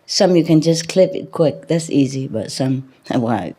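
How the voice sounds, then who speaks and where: A woman's voice: low-pitched, expressive, a little slow, dark tone, slightly rough. A woman spiritual teacher talking casually to a group, rambling through anecdotes in a chatty, teasing, often chuckling manner. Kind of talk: casual anecdotes and banter with an audience.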